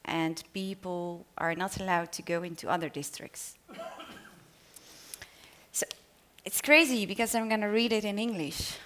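A woman's voice: mid-range voice, unhurried, fairly bright tone, a little hiss or hum. A woman with a Dutch accent, an author speaking on a panel and then reading her own short story in English, a little hesitant. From Netherlands. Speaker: author reading at a literary event.

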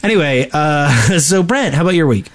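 A man's voice: a little high, expressive, normal speed. A man co-hosting a casual podcast, talking in an easygoing, explanatory way. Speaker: podcast co-host. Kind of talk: casual gaming podcast chat.

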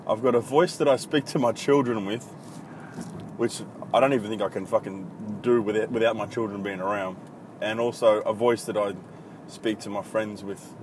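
A low-voiced Australian man in his thirties rambles through a solo podcast, swearing freely and hesitating with plenty of ums. It is recorded on a phone, with loud traffic and street noise behind him. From country Victoria, Australia. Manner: blunt, profane, rambling with many ums. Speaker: podcast host. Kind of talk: casual solo podcast monologue. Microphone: iPhone.

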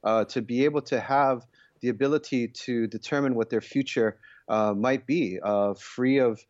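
A man speaks as a guest on a radio panel, reflective and halting as he works through his thoughts. His mid-pitched voice comes through somewhat muffled and dry. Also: a little mellow, dry recording. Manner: halting, many fillers and restarts, reflective. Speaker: guest commentator.

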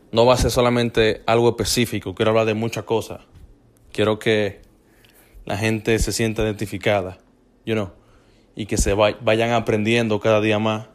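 A man's voice: lowish voice, clean tone. A man from the Republic, hosting a casual motivational fitness podcast, talking loosely, repeating himself and dropping in English fillers. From Dominican Republic. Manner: loose and repetitive, restarting phrases, mixing in English fillers.

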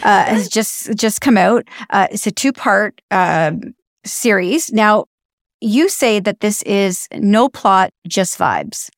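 A woman hosting a podcast, asking measured, friendly questions and reading the outro. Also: mid-range voice, animated. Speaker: podcast host. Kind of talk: interview hosting.

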